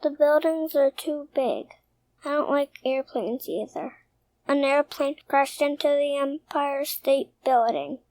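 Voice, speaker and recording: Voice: high voice, slow pace, darkish tone, no echo, poor audio. A young performer playing a shy, anxious six-year-old boy in a radio soap, polite, hesitant and earnest. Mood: earnest, unsure.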